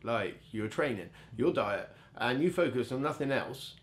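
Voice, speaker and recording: Middle aged bloke swapping stories, jokey and candid, takes the piss out of himself. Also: male voice, mid-range voice, expressive, moderate pace. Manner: candid, jokey, self-deprecating. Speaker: bodybuilder telling stories as interview guest. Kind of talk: casual anecdotal storytelling.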